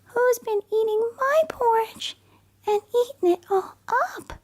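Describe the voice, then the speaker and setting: A woman voicing a tiny little boy bear cub in a bedtime storybook reading, with a very high, indignant voice. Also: a little slow, darkish tone.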